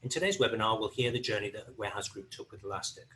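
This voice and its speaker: Steady man explaining a tech product. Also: on the low side, quick pace, a little hiss or hum. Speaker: webinar presenter. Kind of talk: scripted product overview.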